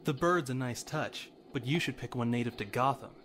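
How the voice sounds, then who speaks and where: A man's voice: mid-range voice, normal speed, a bit bright, slight background noise, close to an everyday voice. A man playing a young, confident, wisecracking sidekick in a superhero fan dub, wry and quippy. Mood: cocky.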